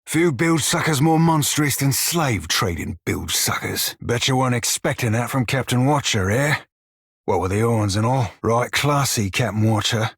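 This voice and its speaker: Roguish shiphunter, game character, rough husky voice, salty slang, cocky, dry studio sound. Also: male voice, mid-range voice, animated, moderate pace, no echo. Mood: gruff, scornful. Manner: salty archaic sailor slang, cocky and teasing. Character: swaggering, foul-mouthed blue-furred male pirate shiphunter, roguish. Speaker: video game companion character. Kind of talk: video game character dialogue and barks.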